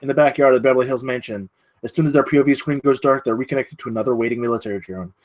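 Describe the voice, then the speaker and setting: A man's voice: medium pitch, rapid-fire. A man reading stage directions at a screenplay table read, in a dramatic, clipped way.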